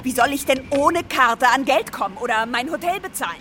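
Indignant stranded traveller, lively and high. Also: female voice, fairly quick, noisy background. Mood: exasperated, indignant. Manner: exasperated, complaining. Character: indignant woman traveller stranded by a broken ticket machine. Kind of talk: naturalistic radio play dialogue.

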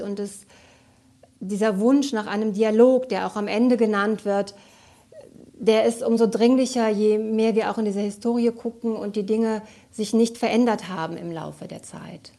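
A woman, a radio play director, giving reflective, measured answers in an interview. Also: on the high side, dark tone.

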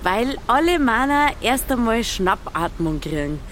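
An actress with a Bavarian accent playing a sly, good-humoured village matchmaker in a dialect radio play. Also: female voice, a little high, sing-song, measured pace, a little hiss or hum. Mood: dry, confiding.

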